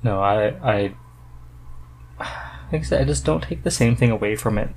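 A man's voice: medium pitch, moderate pace, darkish tone, a little hiss or hum. A man hosting a podcast in a casual, conversational chat. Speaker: podcast host.

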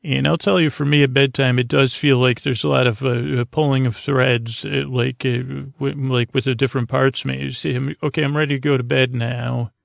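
A man hosting a bedtime podcast in a casual, meandering monologue, halting and self-deprecating, often trailing off mid-thought, with a gravelly voice. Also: mid-range voice, steady tone, no echo, studio quality. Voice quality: gravelly, creaky. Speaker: podcast host.

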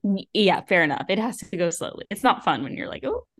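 A woman's voice: fairly low pitch, very animated, a little fast, husky. A woman co-hosting a book podcast, giving opinions in casual, exclamatory talk peppered with "like". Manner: informal, exclamatory, frequent "like".

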